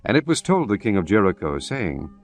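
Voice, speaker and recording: A man narrating scripture in a solemn, measured way. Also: lowish voice, big pitch swings.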